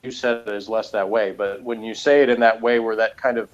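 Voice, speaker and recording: A man chatting casually as a podcast reviewer, explaining things in a halting, rambling way and often correcting himself. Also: lowish voice, steady tone, dull audio.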